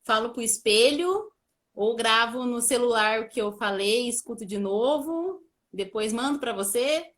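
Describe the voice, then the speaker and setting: A Brazilian woman joins a video call as a student, eager but nervous, with short answers. She speaks slowly, and her voice rings a little in the room. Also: on the high side, a bit bright, roomy sound. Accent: Brazilian Portuguese. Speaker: student guest.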